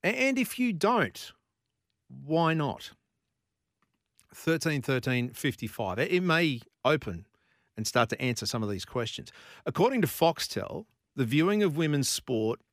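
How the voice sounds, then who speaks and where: A man's voice: medium pitch, very animated, unhurried, fairly bright tone, dry recording, clean studio sound. A man from Brisbane with an Australian accent, hosting a talkback sports radio show and firing rhetorical questions at listeners.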